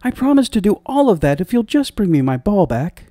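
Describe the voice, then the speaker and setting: A man's voice: high-pitched, expressive, normal speed, mellow, dry recording, studio quality, voice pushed up. A man voicing a young spoiled fairy tale princess in a gushing, dramatic and petulant manner. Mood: eager. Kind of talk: storybook character voice.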